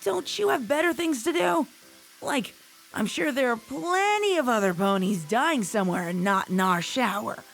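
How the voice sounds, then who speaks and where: A woman's voice: a little high, animated, normal speed, creaky, in a large hall, soft background music, low-quality recording. A woman playing an irritable, flippant pony mare in an audio drama, curt and sarcastic. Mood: annoyed. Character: irritable undead female pony, defiant and flippant. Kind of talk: acted audio drama of a fan fiction.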